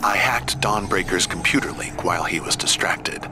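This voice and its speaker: Game teammate male actor: serious, terse, gravelly and deep over loud music. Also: normal speed, lightly acted. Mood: terse, wary. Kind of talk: video game dialogue.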